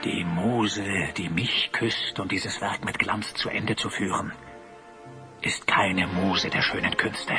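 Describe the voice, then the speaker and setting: A man playing a vain, scheming master sculptor in a fantasy audio drama, speaking with flattering, theatrical grandeur. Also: low-pitched, takes it slowly, soft background music, heavily acted. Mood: sinister, gloating.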